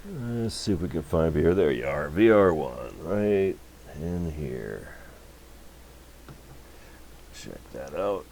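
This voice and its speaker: A man with a low voice talks casually through hands-on electronics work. He is unhurried and often mutters to himself as he goes. Faint noise sits behind him in an indoor workshop recording. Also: expressive, creaky, a little mellow. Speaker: electronics repair hobbyist, channel host. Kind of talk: casual hands-on workbench demonstration.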